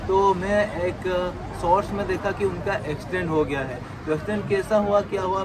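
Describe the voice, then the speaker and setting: A man's voice: sits high, noisy background. Earnest young man vlogging outdoors. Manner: earnest, heartfelt, gestures a lot. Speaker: moto vlogger. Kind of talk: casual vlog talk to camera. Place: outdoors by a house doorway.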